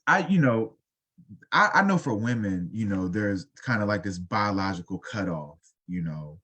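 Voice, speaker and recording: A man's voice: on the low side, lively intonation, normal speed, a bit bright, husky. A man in his thirties co-hosting a casual talk show, rambling loosely with lots of fillers. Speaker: podcast co-host. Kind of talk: casual podcast chat.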